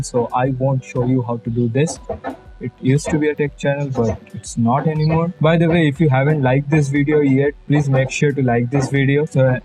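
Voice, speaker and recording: A young Indian man with a Bengali English accent talks through a screen-recorded editing tutorial. His voice is mellow and dark, at an ordinary pitch, with faint music under it. He rambles a little, jokes with viewers and sprinkles in fillers. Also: poor audio. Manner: chatty, jokey asides, many fillers. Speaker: YouTube tutorial host. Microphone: budget external mic.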